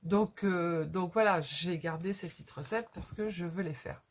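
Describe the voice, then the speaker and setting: A woman's voice: on the low side, normal speed, slight background noise. A middle-aged woman, chatting casually and hesitantly as she hosts a craft vlog, rambling and repeating herself. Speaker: craft video host. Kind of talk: casual unscripted vlog chat.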